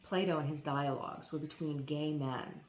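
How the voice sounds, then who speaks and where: A woman reading a philosophy essay aloud in a deliberate, emphatic way, now and then stumbling on foreign names. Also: low voice, fairly even intonation, a little mellow, a little hiss or hum. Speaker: reader. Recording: echoey room.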